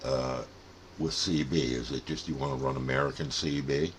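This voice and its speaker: A man's voice: rumbling low, steady tone, moderate pace, a little hiss or hum. An older man giving a casual, rambling, folksy explanation as a plain-spoken hobbyist host. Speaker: radio hobbyist and channel host.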